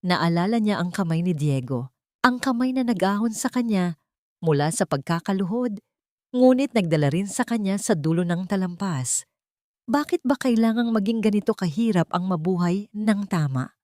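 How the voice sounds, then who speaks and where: A woman's voice: medium pitch, animated, moderate pace, a little mellow, no echo, studio quality. A woman reading scripted, dramatic narration as the storyteller of an audio drama.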